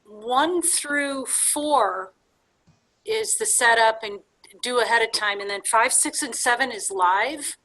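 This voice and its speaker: A woman's voice: a little high, lively intonation, takes it slowly, pushed and bright, low-quality recording. A woman attending an online training as a teacher, carefully checking details with hesitant phrasing over a video call. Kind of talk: clarifying questions in an online meeting.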